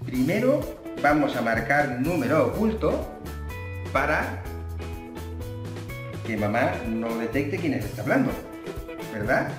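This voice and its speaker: Man in his thirties, Spain Spanish accent, animated intonation, casual vlog chat, music behind. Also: medium pitch, unhurried, long pauses. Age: 30s. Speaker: father hosting a family prank vlog. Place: living room sofa, indoors. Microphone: camera a short distance away. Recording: echoey living room, music behind.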